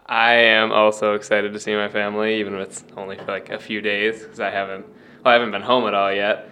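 Bright-voiced young man, chatty. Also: lowish voice, little pitch movement, fast talker, slight background noise. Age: young adult. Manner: chatty with fillers. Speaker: interviewed student. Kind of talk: casual vox-pop answer.

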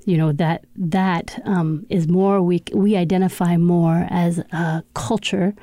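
Low, dark-toned woman explaining patiently. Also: steady tone, dry recording. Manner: measured, explanatory, frequent fillers. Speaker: university sign language instructor. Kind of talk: interview conversation.

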